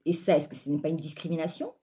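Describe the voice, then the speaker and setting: A woman with a southern French accent speaks in a lowish, husky voice. She delivers an opinionated monologue straight to her listeners, stressing points through repetition and rhetorical questions. The tone of the voice itself is soft-edged and dark. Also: steady tone, fast. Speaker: life coach and spiritual vlogger. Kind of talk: opinionated spoken monologue to viewers.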